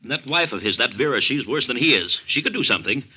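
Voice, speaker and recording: A man's voice: medium pitch, lively intonation, fast talker, bright, forceful tone, husky, full character voice, low-quality recording. A man playing a crabby, stubborn middle-aged rancher in a 1940s radio drama, speaking in a curt, complaining way. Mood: irritated.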